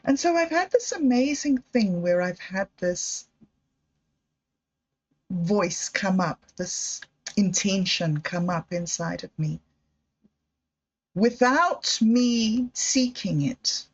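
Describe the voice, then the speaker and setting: A woman talks into her phone in a slow, musing vlog. She drifts between thoughts, turns emotional, and laughs at herself along the way. The sound is a bit muffled and lo-fi. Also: medium pitch, lively intonation. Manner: musing, emotional, digressive, with self-mocking laughs. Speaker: vlogger. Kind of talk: reflective personal vlog monologue.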